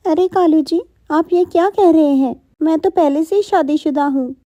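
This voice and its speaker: A woman puts on a sociable female bird soup seller for a cartoon. The voice is very high and chirpy, with a mellow edge and a brisk, polite manner. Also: no echo, natural voice. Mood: surprised.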